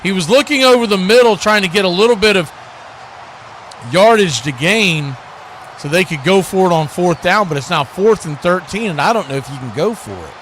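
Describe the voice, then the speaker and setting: A man's voice: sits high, animated, moderate pace, a bit bright, slight background noise. An American man calling football play-by-play for radio, hosting in clipped announcer phrases. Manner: announcer delivery describing action in clipped phrases. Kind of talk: live American football commentary and station promos.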